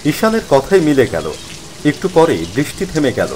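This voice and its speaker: A man's voice: fairly high pitch, wildly swooping pitch, moderate pace, a little mellow, a little hiss or hum, low-quality recording. A man narrating a scripted horror story in a dramatic first-person storytelling style.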